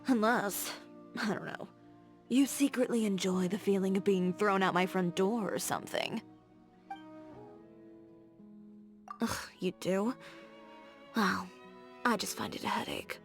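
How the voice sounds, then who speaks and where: A woman's voice: mid-range voice, lively intonation, normal speed, lightly acted. A woman voicing a confident, blunt young fashion designer in a video game, direct and playfully teasing. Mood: frank, firm. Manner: direct, self-assured, teasing. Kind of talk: scripted video game character dialogue. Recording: background music.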